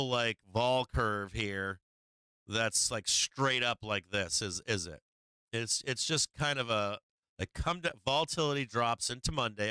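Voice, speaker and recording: A man's voice: medium pitch, sharp tone, clear, resonant tone, dry recording. A man hosting a stock market livestream, talking casually and profanely through charts with many fillers while he is losing his voice.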